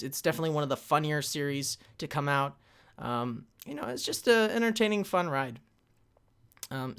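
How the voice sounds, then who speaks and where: Male panel presenter, medium pitch, informal history talk, hesitant and rambling, corrects himself as he goes. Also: fairly bright tone.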